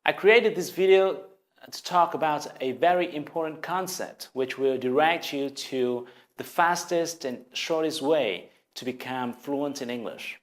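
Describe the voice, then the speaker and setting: A man's voice: a little high, unhurried. Vietnamese-accented man teaching English. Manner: earnest, encouraging, direct to viewer. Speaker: language teacher. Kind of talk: scripted video lesson.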